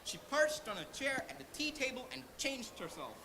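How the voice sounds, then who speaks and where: A female storyteller narrates a scripted tale theatrically in a high, animated voice. Clear noise sits behind her on the live stage. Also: moderate pace. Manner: theatrical, recited. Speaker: storyteller in a school team.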